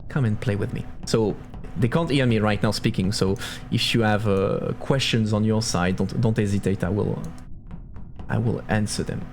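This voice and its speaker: Gravelly male streamer, halting delivery, game music behind. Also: fairly low pitch. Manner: halting, many fillers and restarts, chuckling. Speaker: streamer and host. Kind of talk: live game commentary and moderating.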